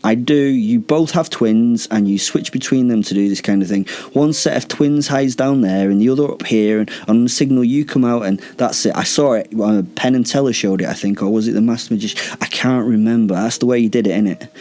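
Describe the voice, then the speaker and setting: A man's voice: fairly low pitch, big pitch swings, brisk, few pauses, darkish tone, husky. A man voicing a cocky, flippant male werewolf in a story, sarcastic and full of exaggerated mock awe. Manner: sarcastic, mock-awed, sweary.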